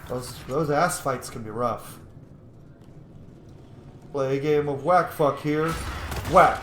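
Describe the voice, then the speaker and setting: A man's voice: medium pitch, slow pace. A man hosting a game playthrough with casual, playful, sweary commentary. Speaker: gaming video host. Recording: echoey room, faint noise.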